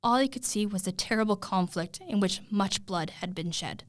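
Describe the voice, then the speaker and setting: Expressive woman storyteller, dry close sound. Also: medium pitch, no echo. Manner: dramatic, expressive, with suspenseful pauses. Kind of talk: animated oral retelling of a fairy tale.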